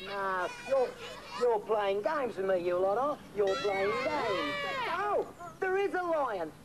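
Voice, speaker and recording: A man voicing an excitable, boastful puppet in an interactive children's show, teasing and exclaiming to the audience. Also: high voice, slow pace. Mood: indignant, teasing. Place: outdoors at a puppet booth. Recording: noisy outdoor puppet show recording.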